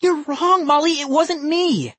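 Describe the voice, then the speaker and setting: A man's voice: extremely high pitch, measured pace, a bit bright, no echo, pitched above the performer's own voice. A man voicing a frightened boy who cries out that he is innocent in a storybook reading. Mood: panicked. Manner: crying out, pleading. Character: panicked boy protesting his innocence.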